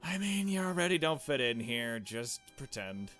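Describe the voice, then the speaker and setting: A man's voice: mid-range voice, big pitch swings, normal speed, fairly bright tone, faint music behind, voice pushed up. A man voicing a pushy, sneering teenage girl in a visual novel game, bossy and insistent. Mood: impatient, pushy.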